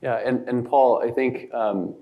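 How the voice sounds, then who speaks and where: A man's voice: mid-range voice, steady tone, measured pace, dull audio. A man moderating a panel, asking questions with curious hesitation.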